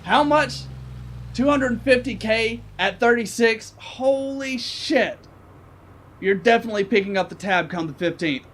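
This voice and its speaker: Man doing a brash profane rich guy, high voice, cocky. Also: measured pace, a bit bright, slight background noise. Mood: boastful. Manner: brash and profane, then irritable. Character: crude, arrogant rich male passenger.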